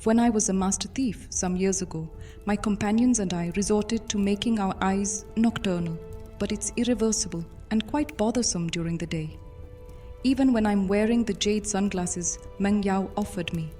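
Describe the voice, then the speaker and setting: An actress playing an ancient vampire mistress and former master thief in an audio drama, speaking in a measured, formal, brooding way. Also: female voice, mid-range voice, dark tone, lightly acted. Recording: music under the voice.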